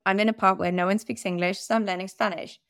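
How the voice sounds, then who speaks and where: A young woman from the Netherlands, a podcast guest speaking candidly and laughing at herself in a relaxed interview. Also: mid-range voice, quick pace, no echo, clean studio sound. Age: young adult. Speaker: podcast guest, growth advisor. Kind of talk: conversational interview.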